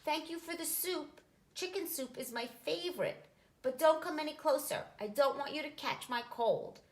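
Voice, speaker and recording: A woman's voice: sits high, moderate pace, roomy sound, voice pushed up, close to an everyday voice. A woman voicing a polite, earnest little boy who is sick, in a children's storybook. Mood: grateful, earnest.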